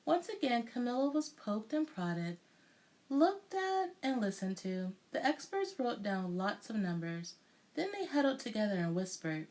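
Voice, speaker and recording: A woman reading a children's picture book aloud with deliberate, dramatic storytelling delivery. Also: fairly high pitch, sing-song, a little mellow. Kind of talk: expressive children's read-aloud narration.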